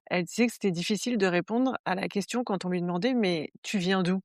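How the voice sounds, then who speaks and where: A woman's voice: lowish voice, fast, darkish tone, dry recording, clean studio sound. A woman hosting a podcast, who sums up her guest's story and asks long, careful questions. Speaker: podcast host. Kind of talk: interview questions.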